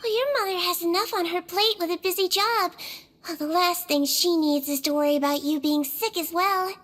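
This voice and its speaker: A woman voicing a small, gentle, slyly sweet girl nurse from a magical folk in a video game, patient and teasing. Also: very high-pitched, normal speed. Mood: caring, firm. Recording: music behind the voice.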